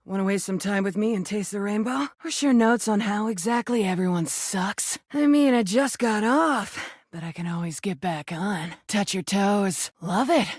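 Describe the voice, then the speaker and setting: A woman voicing a brash, crude, flirty rubber duck in a video game, with a husky voice and a sardonic, slangy, sometimes defensive delivery. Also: mid-range voice, moderate pace, darkish tone, dry recording, clean studio sound, close to an everyday voice. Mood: bored, snide. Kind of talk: video game character acting.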